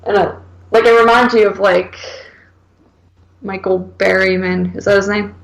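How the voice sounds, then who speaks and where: A woman's voice: medium pitch, steady tone, moderate pace, vocal fry, rough recording. A woman co-hosting a horror podcast, chatting casually and slangily about films, saying "like" constantly. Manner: slangy, heavy use of "like". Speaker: horror film podcast co-host. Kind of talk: casual film review chat.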